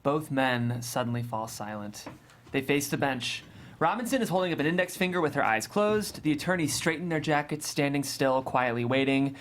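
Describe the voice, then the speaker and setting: A narrator reading scripted stage directions at a table read in a deliberate, descriptive way. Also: mid-range voice, a little hiss or hum.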